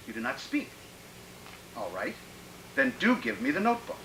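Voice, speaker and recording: An actor playing a megalomaniac, menacing political schemer in a radio drama, declaiming in a grandiose, theatrical way. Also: male voice, high-pitched, huge pitch swings, normal speed, gravelly, reverberant, background noise, exaggerated voice. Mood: smooth, scheming. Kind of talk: old-time radio drama acting.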